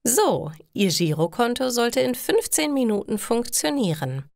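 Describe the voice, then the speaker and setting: A woman voicing a polite, helpful female bank clerk in slow, formal storybook dialogue. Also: fairly high pitch, big pitch swings, gravelly, darkish tone, no echo, clean studio sound, pitched above the performer's own voice, exaggerated voice.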